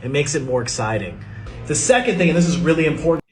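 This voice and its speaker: A man's voice: on the high side, expressive, quick pace, darkish tone. Wry American man over music. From United States. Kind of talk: social media talking clip.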